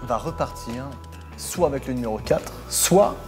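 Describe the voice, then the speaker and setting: A man's voice: mid-range voice, lively intonation, normal speed, faint music behind. A man hosting a dating show, announcing rounds and steering the contestants. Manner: announcing, directing the game. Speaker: show host.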